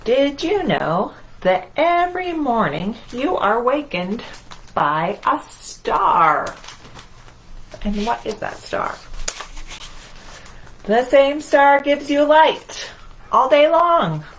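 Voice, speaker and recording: A woman leads a storytime for young children at an unhurried pace. She reads aloud in short, singsong phrases and often turns to her listeners with simple questions. There is clear background noise in the recording. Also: sits high, very animated, rough recording. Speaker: children's library storytime host.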